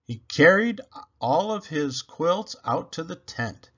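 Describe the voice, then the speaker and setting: Guy reading a kids book out loud, super expressive, slightly dull lo-fi recording. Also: fairly high pitch, takes it slowly. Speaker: storybook reader. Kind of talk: animated children's read-aloud narration.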